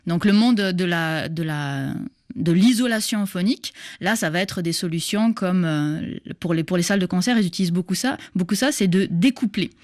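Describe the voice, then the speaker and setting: A woman's voice: on the low side, normal speed, darkish tone, no echo. A woman in her thirties whose accent blends southern French with Québécois. She explains science topics patiently, stopping and restarting often, with plenty of fillers. Her voice is recorded dry, with no room sound. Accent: southern French mixed with Québécois. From southern France. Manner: hesitant, many restarts and fillers. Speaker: guest, science popularizer. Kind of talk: explanatory interview talk.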